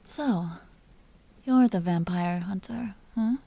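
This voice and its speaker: A woman playing an elegant vampire duchess in an audio roleplay, speaking softly with formal, languid, teasing courtesy and an amused, predatory air. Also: mid-range voice, slow pace. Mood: amused, taunting. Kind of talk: dramatic roleplay audio performance.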